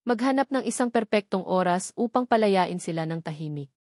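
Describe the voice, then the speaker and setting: A woman's voice: mid-range voice, animated, brisk, darkish tone, no room sound, close to an everyday voice. Female narrator as young male sect leader in an audiobook, solemn, terse, commanding, dry sound.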